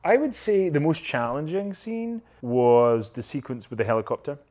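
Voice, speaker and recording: A man's voice: a little high, very animated, moderate pace, a little mellow. A man with a Scottish accent, an actor chatting easily in an interview, laughing often and dropping Scots words like wee. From Scotland. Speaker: actor and guest. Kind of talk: casual interview chat.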